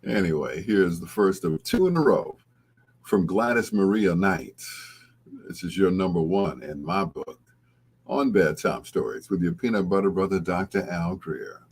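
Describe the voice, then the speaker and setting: A man hosting a late-night music radio show, chatting casually and informally to his live listeners in a husky voice. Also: deep voice, lively intonation, measured pace, darkish tone. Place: broadcasting on radio and live video stream.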